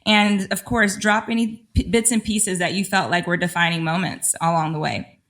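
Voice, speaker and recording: A woman's voice: medium pitch, steady tone, normal speed, a bit bright. A woman hosting an interview, encouraging and affirming toward her guest. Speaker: podcast host.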